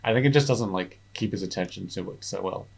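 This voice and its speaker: A man's voice: low voice, quick pace, vocal fry, slightly rough. A young man chatting casually as a podcast guest, rambling with lots of filler words and restarts. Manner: rambling, many filler words and self-corrections. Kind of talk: casual chat.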